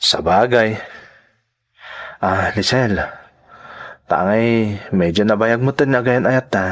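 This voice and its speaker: A man's voice: on the low side, slow pace. A man playing a devoted, sincere young suitor in a radio drama, with an Ilocano accent. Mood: earnest.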